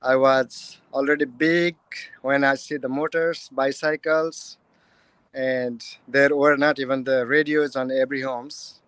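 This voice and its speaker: Slow-speaking man with a Nepali accent. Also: mid-range voice, level tone, fairly bright tone, clean tone, no echo. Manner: slow, plain, broken English. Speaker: guest. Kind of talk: interview answers.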